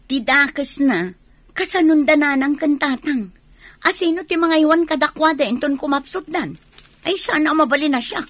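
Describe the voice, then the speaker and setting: An actress playing a self-centred, complaining sister in a radio drama, dismissive and full of excuses. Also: female voice, sits high, moderate pace, no echo, close to an everyday voice. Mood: peevish. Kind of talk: Filipino radio drama acting.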